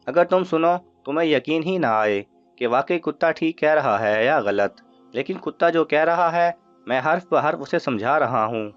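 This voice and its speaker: A man's voice: fairly high pitch, lively intonation, lightly acted. A man voicing an earnest, insistent thief in a children's storybook tale. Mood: amazed, insistent. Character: earnest, insistent male thief who understands dogs. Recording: muffled audio with music underneath.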